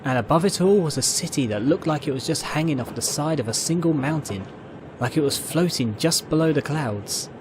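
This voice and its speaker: A man's voice: on the high side, lively intonation, a little fast, noisy background. A man reading a story aloud as a scripted, dramatic narration. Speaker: narrator, fan fiction reader.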